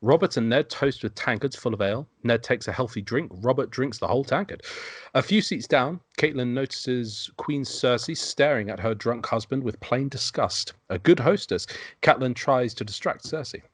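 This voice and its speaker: A man's voice: medium pitch, moderate pace, dry recording, clean studio sound. A man narrating stage directions from a script at an amateur table read.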